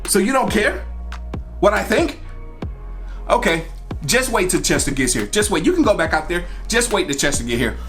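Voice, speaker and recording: A man's voice: sits high, sing-song, moderate pace, pauses often, fairly bright tone, faint music behind, voice pushed up. A man voicing a haughty, bossy American woman who manages a store, curt and scolding, in a story time video. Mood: smug threatening. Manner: curt, scolding, repeats orders.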